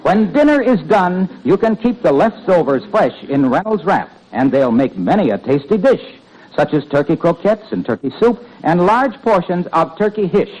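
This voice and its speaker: Animated radio pitchman. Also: moderate pace, rough recording. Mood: jovial, pleased. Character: jovial rhyming pitchman, adult man. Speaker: announcer, commercial pitchman. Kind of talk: 1950s radio advertising patter. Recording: old radio show, loud studio audience.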